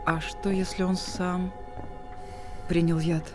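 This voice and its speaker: Wounded middle-aged wife, guarded, regretful, brooding TV drama delivery, music underneath. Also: female voice, on the low side, little pitch movement, takes it slowly. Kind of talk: naturalistic screen acting.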